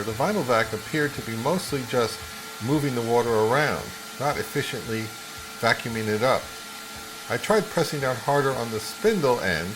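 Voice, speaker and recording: A man narrating a casual product review in a measured, wry and mildly critical manner. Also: medium pitch.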